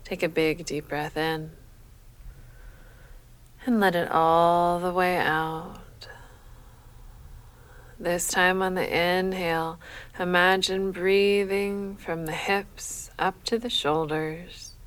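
A woman leading a guided sleep meditation as a yoga nidra guide, speaking in a measured, soothing, unhurried manner. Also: lowish voice. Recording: faint steady background noise.

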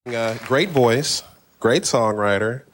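A man's voice: mid-range voice, takes it slowly. A man introducing a musician on an old television show. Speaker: speaker on a TV show. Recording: noisy old TV clip with crowd.

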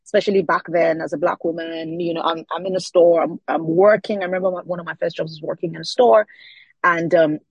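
A woman's voice: fairly low pitch, fairly even intonation, a little fast, husky, no echo, highs cut off. A Nigerian woman in her forties, an author answering interview questions thoughtfully, often repeating words as she searches for them. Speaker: author guest.

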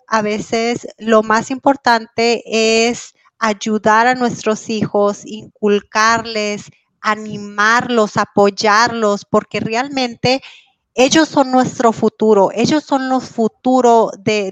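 A woman's voice: on the high side, fairly even intonation, slow pace, no room sound. A woman guest in an interview, speaking earnestly and encouragingly. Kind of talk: interview answers.

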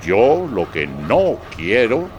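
A man's voice: fairly low pitch, huge pitch swings, slow, background noise, full character voice. An actor playing a solemn, kindly Magi king in a children's radio play, with a stately, theatrical delivery.

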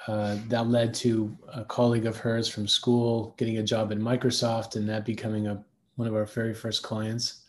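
A man's voice: on the low side, completely flat delivery, normal speed. A man, co-founder of a design agency, telling a long anecdotal story in an interview, rambling with fillers and restarts. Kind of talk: conversational interview answers.

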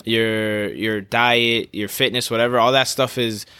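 A man's voice: mid-range voice, little pitch movement, a little slow, pushed and bright, clean tone, no room sound. A man co-hosting a casual podcast conversation, reflective and hesitant as he works through his points. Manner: reflective, hesitant with fillers and restarts. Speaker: podcast co-host.

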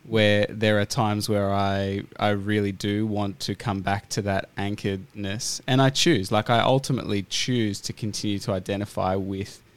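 An Australian man hosting a podcast, talking in a relaxed, thoughtful way with plenty of fillers. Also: lowish voice, normal speed, hardly any pauses, clear, resonant tone. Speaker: podcast host. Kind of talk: casual interview chat.

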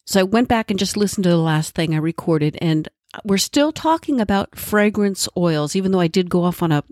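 A woman's voice: fairly low pitch, expressive, a little fast, darkish tone, no echo, clean studio sound. A woman podcast host with a congested, nasal voice from a cold, giving a friendly solo explanation with asides. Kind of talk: solo educational podcast talk.

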